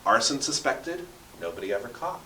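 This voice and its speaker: A man's voice: medium pitch, big pitch swings, fairly quick, bright, forceful tone, a little hiss or hum. A talkative man in his thirties telling stories with enthusiasm and dramatic emphasis as a radio interview guest. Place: basement radio station studio. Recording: roomy echo, distant from the mic.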